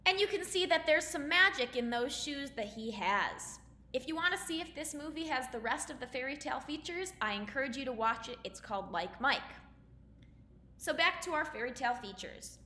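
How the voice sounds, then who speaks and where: A woman's voice: high-pitched, animated, moderate pace, pushed and bright. A woman speaking as an elementary teacher, explaining and reading aloud in simple, instructive phrases for children. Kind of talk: explanatory lesson and storybook read-aloud. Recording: roomy echo, faint background noise.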